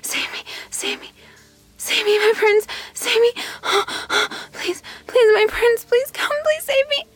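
A woman playing a captive fairy-tale princess in an intimate audio drama, breathy and tearful, pleading yet defiant. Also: very high-pitched, slow pace, no echo, faint music behind. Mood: desperate pleading. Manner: breathy, tearful, gasping, often whispering. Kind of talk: intimate audio roleplay acting.

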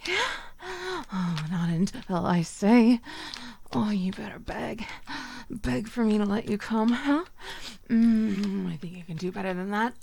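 A woman performing a dominant, teasing audio roleplay, speaking in soft whispers broken by low murmurs. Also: measured pace, gravelly, a little hiss or hum.

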